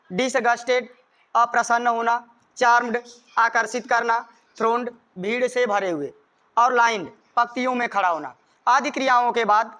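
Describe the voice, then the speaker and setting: A man's voice: very high-pitched, bright, forceful tone, clear, resonant tone. A man with a North Indian accent, a native Hindi speaker, teaching grammar in an emphatic, repetitive way and dictating examples word by word. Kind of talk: classroom lesson explanation with dictated examples.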